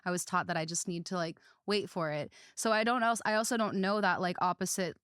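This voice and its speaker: A woman's voice: lowish voice, brisk, fairly bright tone, smooth, no echo, clean studio sound. A woman podcast host telling personal stories in casual, rambling chat, often quoting herself and others.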